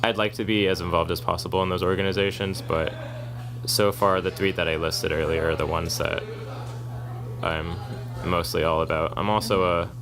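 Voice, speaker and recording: A man co-hosting a podcast, talking at length in a monotone, argumentative way in casual chat. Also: deep voice, normal speed, music in the background. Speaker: podcast co-host, activist. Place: kava bar.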